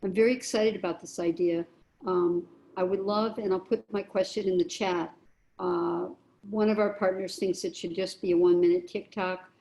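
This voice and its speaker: A woman with a low, mellow voice speaks at an unhurried pace. She thinks aloud on a video call and leans on pauses and fillers, like a producer sharing news with peers. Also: fairly even intonation, poor audio. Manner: thoughtful, unhurried, many fillers. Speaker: arts producer. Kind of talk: casual group check-in on a video call.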